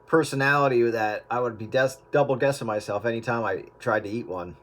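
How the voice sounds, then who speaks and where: A man's voice: medium pitch, brisk, a bit bright. A man in a casual radio chat, joking and rambling with frequent restarts. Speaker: radio hobbyist on an on-air group chat. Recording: heavy static radio transmission.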